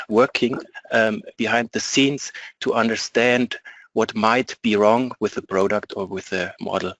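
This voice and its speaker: A man's voice: mid-range voice, a bit bright, no room sound, rough recording. A man with a German accent, a product support specialist presenting a webinar from an office, speaking hesitantly with many ums and uhs.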